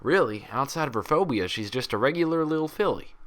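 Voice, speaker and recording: A man's voice: mid-range voice, lively intonation, a little fast, a bit bright, voice pushed up, lightly acted. A man voicing a frank, proud, friendly female pony doctor with a folksy way of talking, in a story reading. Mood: frank, explaining.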